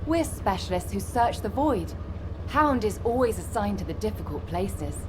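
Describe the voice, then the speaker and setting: A woman voicing an upbeat, teasing recon specialist in a video game, speaking informally over background rumble. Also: sing-song, moderate pace, lightly acted. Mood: brisk, confident. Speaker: squad member giving mission briefing.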